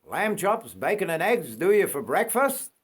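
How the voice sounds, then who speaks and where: A man's voice: high voice, sing-song, a little slow, slightly rough, voice pushed up, full character voice. A man voicing a cheery train steward in a storybook reading, chirpy and colloquial.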